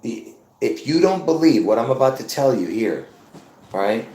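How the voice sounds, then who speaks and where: A man in his fifties running a live stream, circling back and repeating phrases as he presses his point on viewers. His voice sits at an ordinary middle pitch for a man. The room gives everything a noticeable echo. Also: big pitch swings, moderate pace, dark tone. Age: 50s. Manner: repetitive, insistent, addresses viewers as folks. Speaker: livestream host. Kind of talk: rambling live-stream monologue. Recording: roomy echo.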